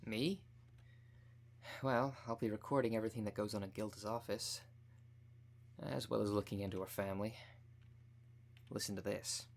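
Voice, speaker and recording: Man playing a low-voiced, determined investigator. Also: fairly even intonation, moderate pace, vocal fry, pauses often, a little hiss or hum. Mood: indignant, determined. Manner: direct, earnest, plain-spoken. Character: determined, protective undercover investigator rescuing a parent. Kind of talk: scripted audio roleplay.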